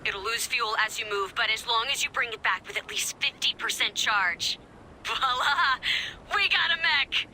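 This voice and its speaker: Bright, eager young resistance mechanic. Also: female voice, on the high side, normal speed, slight background noise, low-quality recording. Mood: excited, urging. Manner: chatty, hesitant, eager. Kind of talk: video game mission dialogue.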